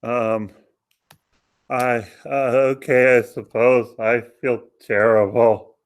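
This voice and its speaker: Slow, deadpan man as a hapless soldier. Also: medium pitch. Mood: awkward, flat. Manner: hesitant, deadpan, complaining. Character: deadpan, hapless soldier preoccupied with minor discomforts. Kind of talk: comic radio play acting.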